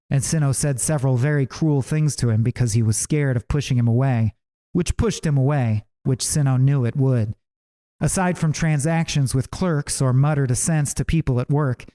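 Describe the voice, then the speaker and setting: A man narrates a scripted audiobook in a mellow, relaxed tone. His delivery is measured and deliberate, with clear breaks between phrases. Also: mid-range voice. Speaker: author reading his own audiobook.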